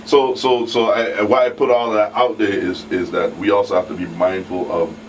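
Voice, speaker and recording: Deep-voiced man, distant noisy mic. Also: little pitch movement, moderate pace. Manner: colloquial, reflective, voices imagined quotes. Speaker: talk show participant. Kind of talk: casual talk show discussion. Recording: distant mic, clear background noise.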